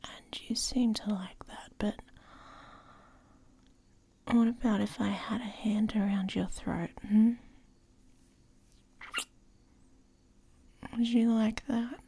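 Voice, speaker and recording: A woman playing a dominant, teasing but protective female werewolf in intimate ASMR roleplay, in a breathy, husky voice that often drops to a whisper. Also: fairly high pitch, fairly even intonation, dark tone, full character voice. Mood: teasing, commanding. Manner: slow, teasing, commanding.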